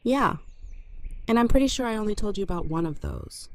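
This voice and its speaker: A woman's voice: mid-range voice, animated, a little fast, no room sound, close to an everyday voice. A woman playing a blunt, practical, seasoned survivor in an audio drama. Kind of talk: fan audio drama acting.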